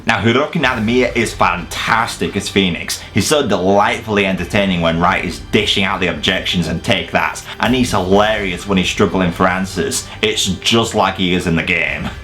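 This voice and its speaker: A man's voice: low-pitched, moderate pace, hardly any pauses, sharp tone, faint music behind. A man giving a casual, opinionated scripted video review, emphatic and sweary with self-deprecating humour. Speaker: video reviewer presenting a top ten list.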